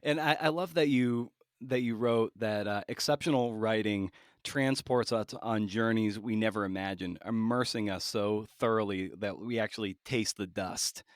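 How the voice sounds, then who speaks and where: A man's voice: lowish voice, normal speed, dry recording, clean studio sound. A man hosting a podcast interview in a casual, conversational way, feeling his way toward each phrase as he rambles.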